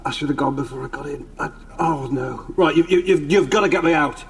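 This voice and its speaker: A man's voice: on the high side, brisk, very noisy, lightly acted. An actor playing a hapless, childishly enthusiastic man in a suit of armour, blustering and stammering in farcical radio sitcom style. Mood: flustered, panicky.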